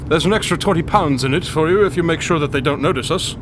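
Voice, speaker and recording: A man acting as an imperious gentleman detective in a radio drama. His voice sits a little high, and he phrases everything in pompous, formal, flowery language with great self-assurance. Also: fast talker, noisy background, lightly acted. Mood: brisk, assured. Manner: pompous, formal, florid diction.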